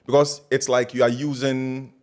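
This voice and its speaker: A man's voice: mid-range voice, flat delivery, moderate pace, darkish tone. A man, co-hosting a podcast in playful, rambling casual chat. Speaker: podcast co-host.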